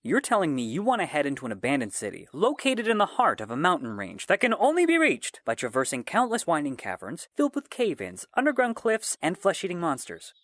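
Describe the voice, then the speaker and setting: A man's voice: fairly high pitch, huge pitch swings, fairly quick, hardly any pauses, a bit bright, dry recording. A man playing a wry, world-weary fantasy swordsman for hire in an audio drama. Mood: wry, incredulous. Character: gruff, wry human swordsman, hired fantasy bodyguard.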